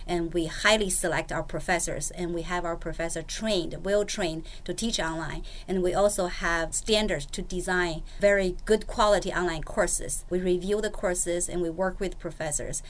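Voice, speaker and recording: A woman's voice: on the low side, fairly even intonation, normal speed, hardly any pauses. A woman from Beijing with a Mandarin Chinese accent, a college director talking plainly as a guest on a radio interview. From Beijing, China. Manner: plain, practical, with frequent restarts. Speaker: director of distance learning, guest.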